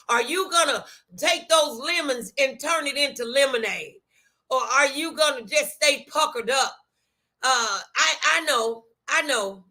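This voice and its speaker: A high-voiced American woman with a bright, forceful tone gives a motivational faith talk. She is emphatic and folksy, repeating phrases to drive each point home and speaking straight to her viewers. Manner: folksy, colloquial, direct address. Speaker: host of an inspirational talk show.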